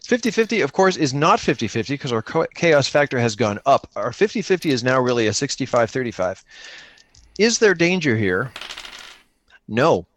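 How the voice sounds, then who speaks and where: A man's voice: medium pitch, sing-song, normal speed, fairly bright tone, dry recording. A man co-running an improvised tabletop game, narrating casually and thinking aloud in a joking way. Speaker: co-player running a solo-engine tabletop game.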